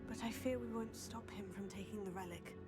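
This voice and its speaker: A young woman playing a composed, diplomatic teenage witch in a video game, measured and articulate. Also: medium pitch, music in the background, natural voice. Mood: persuasive. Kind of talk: dramatic video game voice acting.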